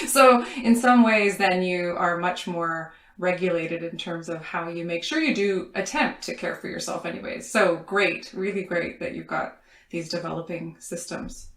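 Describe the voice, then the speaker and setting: A woman's voice: lowish voice, steady tone, a little slow. Chatty woman host in echoey room. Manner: chatty, informal. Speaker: podcast host. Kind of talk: casual chat.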